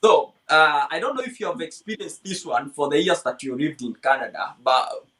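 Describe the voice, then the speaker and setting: A man with a slightly high voice hosts a relaxed interview. He speaks thoughtfully and often pauses on "uh" and "you know" as he gathers his ideas. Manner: reflective, with many fillers. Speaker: host. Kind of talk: casual interview chat.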